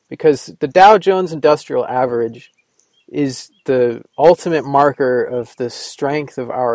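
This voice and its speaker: Rambling dry-voiced man, deadpan sarcasm. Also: medium pitch, dry recording. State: recovering from a cold. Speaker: podcast host. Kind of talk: rambling solo monologue. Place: Vermont.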